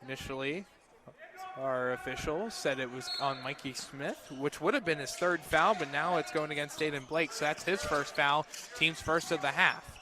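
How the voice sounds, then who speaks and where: A man's voice: fairly high pitch, moderate pace. A man calling a live basketball game on radio as the play-by-play announcer.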